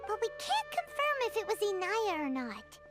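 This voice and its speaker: Squeaky, excitable tiny fairy companion. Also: female voice, normal speed, heavily acted. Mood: curious, eager. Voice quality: squeaky, childlike. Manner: animated, earnest. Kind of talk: video game voice acting. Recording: game music behind the voice.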